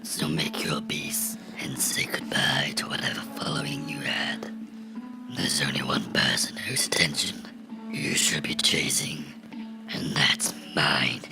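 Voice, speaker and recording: A man playing a vengeful cartoon gnome come to life, menacing and mocking in a breathy, gravelly whisper for a horror ASMR role-play. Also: a bass voice, fairly even intonation, music in the background, full character voice. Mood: mocking menace. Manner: slow, deliberate, sinisterly playful, taunting.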